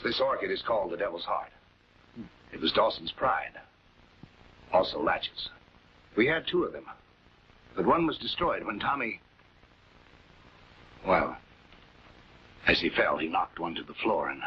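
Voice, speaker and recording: A man playing a courteous but uneasy householder in a late-1940s television drama, talking in a halting, over-polite way. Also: low-pitched, sing-song. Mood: uneasy, explaining. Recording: dull, noisy 1940s film soundtrack.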